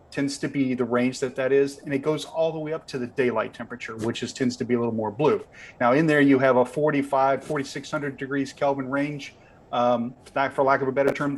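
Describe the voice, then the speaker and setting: A man giving an informal technical explanation in a patient way, as a guest expert on a video call. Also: medium pitch. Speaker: lighting company representative, guest expert. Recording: background noise on a video call.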